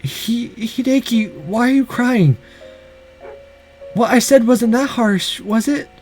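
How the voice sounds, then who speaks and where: A man voicing a shy, defensive teenage girl with a soft, hesitant manner in a visual novel. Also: high-pitched, lively intonation, measured pace, soft background music. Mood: hesitant concern.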